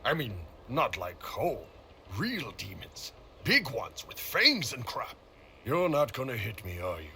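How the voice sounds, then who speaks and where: A man playing a big, gruff horned warrior in a video game, talking bluntly and casually with some swearing. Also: medium pitch, huge pitch swings, unhurried, sharp tone, a little hiss or hum, full character voice. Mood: blunt, uneasy. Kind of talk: video game cutscene dialogue.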